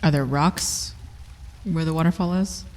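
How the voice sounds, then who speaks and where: A man's voice: fairly high pitch, fairly even intonation, a little slow. A man playing a tabletop roleplaying game, talking through what his character does. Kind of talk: conversational in-game play, describing a character's actions.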